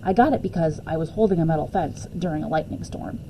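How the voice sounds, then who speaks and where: A woman's voice: sits low, fast, noisy background. A woman reading a children's novel aloud expressively in the first person, as a storyteller. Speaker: teacher reading a book aloud.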